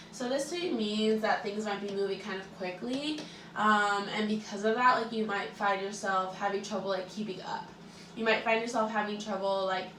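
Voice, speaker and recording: A woman giving a casual tarot reading to her viewers, chatty and relaxed with lots of fillers, sounding a little stuffy-nosed from allergies. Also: medium pitch, reverberant, distant mic, a little hiss or hum, low-quality recording. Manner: chatty, relaxed, many likes and ums. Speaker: tarot reader and astrologer.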